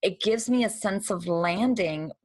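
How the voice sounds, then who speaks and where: A woman's voice: mid-range voice, a little slow, no room sound. A woman from Brazil who moved to the United States as a child, hosting a reflective conversational interview in an earnest, emphatic way. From Brazil, moved to the United States at seven. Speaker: podcast host interviewing a guest.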